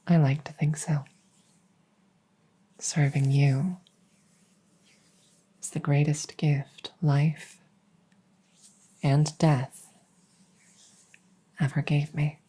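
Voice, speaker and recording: A woman playing a devoted, doting vampire maid in a soft-spoken ASMR roleplay, gentle and deferential, often slipping into a whisper. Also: sits low, level tone, breathy edge, full character voice. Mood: tender, wistful. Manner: gentle, unhurried, deferential, formal.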